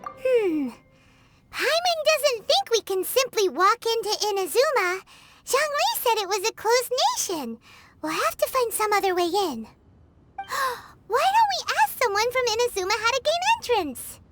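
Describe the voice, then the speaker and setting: A woman voicing a tiny, excitable female fairy companion who talks about herself in the third person, in a video game. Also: measured pace, noisy background, full character voice. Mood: eager. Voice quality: high, squeaky, bubbly. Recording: game audio with loud background music.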